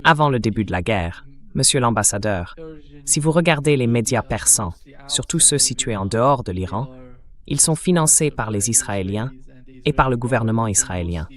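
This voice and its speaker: A man's voice: medium pitch, expressive, normal speed. A man's synthetic AI voice reading a translated interview as the interviewer.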